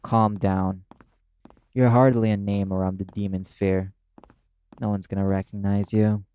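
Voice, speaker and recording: Man as a brash demon, lowish pitch, dark mellow tone, terse, scornful, commanding, guarded, audio roleplay. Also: flat delivery, a little slow, slight background noise, lightly acted. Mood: impatient, scornful. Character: brash, proud, curt demon, prickly and guarded.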